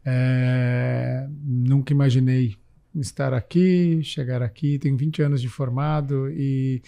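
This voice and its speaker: A man in his forties from the São Paulo countryside, a physician and health manager, speaking reflectively in an interview with frequent pauses and fillers. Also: mid-range voice, flat delivery, gravelly, dark tone. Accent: São Paulo countryside Brazilian Portuguese. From interior of São Paulo state, Brazil. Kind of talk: casual interview talk.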